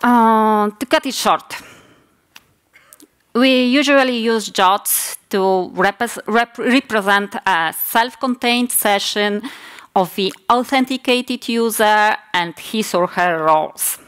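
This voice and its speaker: A Polish-accented woman in her forties gives a technical talk from a lectern on a conference stage. She speaks slowly and carefully, often pausing, correcting herself mid-word, and filling gaps with hesitant sounds, her voice sitting at a middling pitch. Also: fairly bright tone, clean tone. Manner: measured, with frequent hesitations and self-corrections. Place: large conference hall stage.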